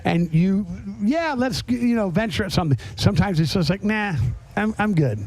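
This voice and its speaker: A man with a fairly high voice hosts in an easy, informal chat style. He is plain-spoken and likes to interject, and his sound is dry with little room around it. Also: animated, moderate pace, a little mellow, dry recording, faint music behind. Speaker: podcast host. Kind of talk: casual chat. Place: on location at a garage.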